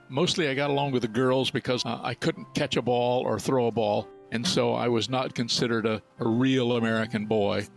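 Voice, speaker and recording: A man recalls his past conversationally in a gravelly voice of medium pitch. Music plays faintly in the background. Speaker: discussion participant. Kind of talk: casual personal reminiscence.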